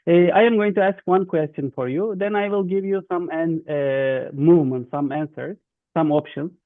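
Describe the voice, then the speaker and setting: A man with a Turkish accent explains instructions to an online group, halting and repeating himself in non-native English. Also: on the high side, dry recording. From Turkey. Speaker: youth webinar facilitator. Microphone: video call. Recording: thin, dry phone-like online call.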